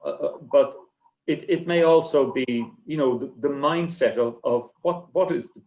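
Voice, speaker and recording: Halting man in an echoey room. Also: mid-range voice, a little mellow, muffled recording. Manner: halting, stammering, repeats words and restarts. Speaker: short story writer on a festival panel. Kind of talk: rambling panel discussion answer. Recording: echoey, roomy sound.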